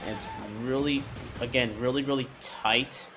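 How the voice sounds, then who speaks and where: A man narrating a hands-on toy review in a halting way, often repeating his words. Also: mid-range voice, steady tone, over music.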